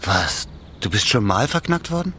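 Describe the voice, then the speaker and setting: A man's voice: fairly low pitch, very animated, normal speed, bright, forceful tone, a little hiss or hum. An actor in a naturalistic radio play playing a wary, plain-spoken male petty thief, talking colloquially and hesitantly with muttered doubts. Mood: curious.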